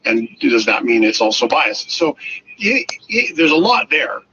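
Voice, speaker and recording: A man's voice: medium pitch, big pitch swings, measured pace, pushed and bright. A man hosting an interview, relaying audience questions in a conversational way. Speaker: interview host. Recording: muffled, noisy radio-like sound.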